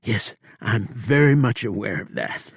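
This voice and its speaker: A man's voice: on the low side, very animated, a little slow, vocal fry, breathy edge, no echo, clean studio sound, pitched above the performer's own voice, full character voice. A man voicing a frightened, injured male outlaw who yelps and cries out in pain, in an audiobook. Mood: pleading. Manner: yelping, howling and crying out in pain. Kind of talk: audiobook character voice.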